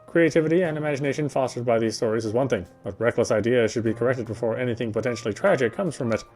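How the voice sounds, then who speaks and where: A man's voice: mid-range voice, fast talker, darkish tone, faint music behind. A man reading visual novel narration aloud, sometimes stumbling and repeating phrases. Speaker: playthrough reader.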